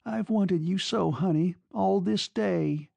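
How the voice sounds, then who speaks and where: Man voicing a lovesick young woman, pitched high. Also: a little slow, dry recording, lo-fi sound, exaggerated voice. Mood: tender, longing. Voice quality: soft, breathy delivery. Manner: pleading, emotionally raw. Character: young woman in love, clinging, anguished and desperate.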